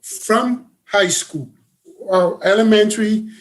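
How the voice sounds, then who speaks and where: High-voiced man, halting talk. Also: rough recording. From Samoa. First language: Samoan. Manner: halting, full of uh's and restarts. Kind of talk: casual conversation.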